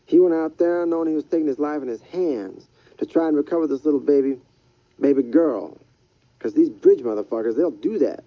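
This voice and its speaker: A man voicing an excitable, profane street hustler in an audiobook reading. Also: fairly high pitch, brisk, no room sound, lo-fi sound, pitched above the performer's own voice, lightly acted. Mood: agitated. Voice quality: profane, heated.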